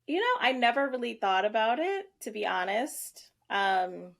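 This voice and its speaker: A woman's voice: a little high, sing-song, normal speed, vocal fry, a bit bright. Reflective woman educator, hesitant speech. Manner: reflective, hesitant, laughs easily. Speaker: educator and content creator. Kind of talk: interview.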